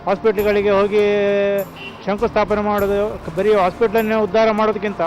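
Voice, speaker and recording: A man's voice: high voice, little pitch movement, fairly quick, darkish tone, soft background music. A man with a Mangalore accent giving his opinion in a street interview. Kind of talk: street vox pop.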